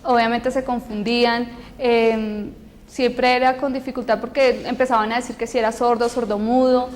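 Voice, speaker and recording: A woman interpreting aloud for an interviewee, halting with many fillers and restarts. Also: fairly high pitch, flat delivery, in a large hall. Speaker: sign language interpreter voicing a deaf student. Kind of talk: interview answer, spoken interpretation.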